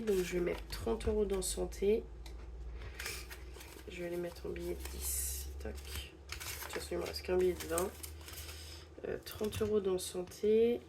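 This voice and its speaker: Slow, mellow woman with a cold. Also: on the low side, slight background noise. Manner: slow, hesitant, counting bills aloud. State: stuffy head cold. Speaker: budgeting channel host. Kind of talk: casual envelope-budget vlog narration.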